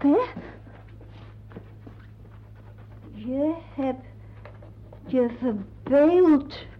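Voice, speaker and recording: A woman playing a fussing, coaxing aunt and mother of grown sons in a radio drama, halting and repeating herself, with a husky voice. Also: high-pitched, heavily acted. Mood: pitying, soothing. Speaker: radio play actress. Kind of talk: radio drama monologue to a silent listener. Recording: dull, muffled radio play recording.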